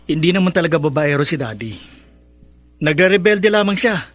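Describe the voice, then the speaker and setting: A protective older brother in a radio drama. He is a young adult with a slightly high voice, reasoning earnestly to keep the peace. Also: lively intonation, brisk, fairly bright tone, no echo, soft background music. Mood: defensive. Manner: placating, earnest. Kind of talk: Filipino radio drama acting.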